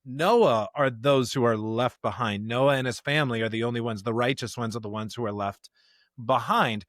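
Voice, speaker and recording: A man's voice: medium pitch, moderate pace, fairly bright tone, no room sound, clean studio sound. A man co-hosting a podcast, talking through and reading scripture in a conversational, thinking-aloud way. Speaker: podcast co-host discussing scripture. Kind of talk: conversational Bible commentary with read-aloud scripture.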